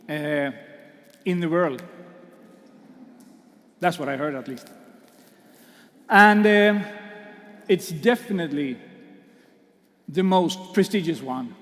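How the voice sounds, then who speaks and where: A man with a high voice hosts an awards ceremony from a stage. He talks slowly and jokes, stumbling over fillers. English is not his first language, and his voice rings with the long reverb of a big hall. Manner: joking, self-deprecating, halting fillers. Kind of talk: speech to an audience. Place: large hall.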